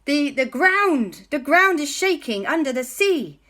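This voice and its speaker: A woman playing a frantic high pirate cat. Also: very animated, unhurried, a bit bright, full character voice. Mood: panicked. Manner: hearty pirate talk, frantic. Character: excitable male cartoon pirate cat.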